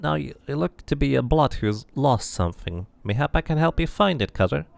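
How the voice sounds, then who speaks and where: A man's voice: medium pitch, lively intonation, brisk, gravelly, pitched above the performer's own voice. A man voicing a tired, coy streetwalker woman in a fantasy game, teasing and mercenary. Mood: coy, inviting.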